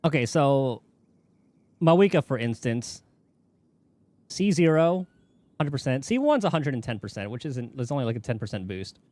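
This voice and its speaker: A man's voice: mid-range voice, sing-song, normal speed, pauses often, a little mellow, dry recording. A man chatting casually on a game livestream, rambling and thinking aloud as he goes. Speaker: game streamer. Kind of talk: casual livestream chat while gaming.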